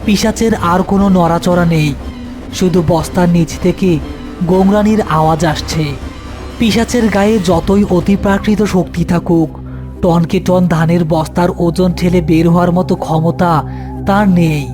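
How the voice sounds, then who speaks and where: A man's voice: high voice, fairly even intonation, unhurried, over music. A man giving scripted narration as the storyteller of a horror tale.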